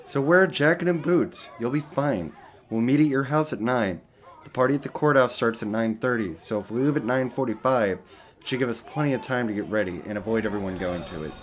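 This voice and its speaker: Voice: medium pitch, expressive, a little fast, lightly acted. An actor playing an eager, earnest teenage boy ghost hunter in a horror story, insistent and matter-of-fact.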